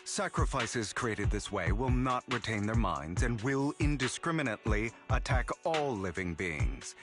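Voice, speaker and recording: An actor playing a suave, sardonic gentleman thief and con artist in a video game, with a grandiose, silky, deliberate delivery. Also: medium pitch, lively intonation, close to an everyday voice. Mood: wry, brisk. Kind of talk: dramatic video game voice acting. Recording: background music under voice.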